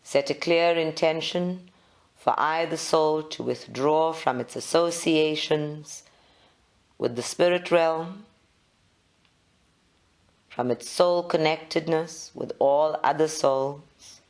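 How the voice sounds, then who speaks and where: Very slow meditation guide. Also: mid-range voice. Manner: measured, instructional, with short phrases and long pauses.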